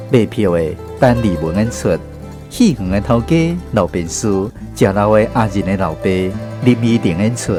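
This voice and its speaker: A man with a Taiwanese accent narrates a script for radio. His medium-pitched voice moves in a lively way. Soft music sits underneath. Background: faint music.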